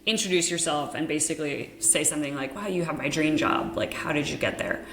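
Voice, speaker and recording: A woman's voice: deep voice, fairly quick, fairly bright tone, reverberant, slight background noise, low-quality recording. A young American woman whose first language is Dutch, a podcast host talking frankly and casually with many fillers. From United States.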